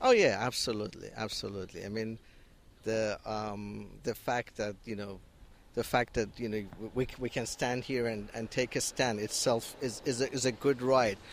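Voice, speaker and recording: A young Iranian-accented man gives an outdoor street interview at a protest. He speaks haltingly into a handheld microphone, restarting and filling his sentences, while faint traffic noise hums behind him. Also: fairly low pitch, fairly even intonation, creaky. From Iran. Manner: hesitant, many restarts and fillers. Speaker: activist at a rally. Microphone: handheld interviewer mic.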